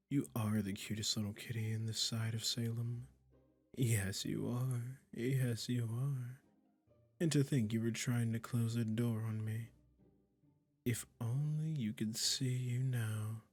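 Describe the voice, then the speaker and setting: A man playing a smug, possessive, doting male witch in an intimate roleplay audio, cooing condescendingly. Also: on the low side. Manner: condescending, cooing, breathy endearments. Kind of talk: intimate ASMR roleplay acting.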